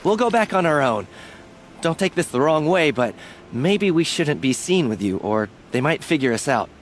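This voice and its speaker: An anxious young warrior in a game, sheepish and nervous. His highish voice moves up and down in lively swings. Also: normal speed, background noise. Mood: sheepish relief. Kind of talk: video game dialogue acting.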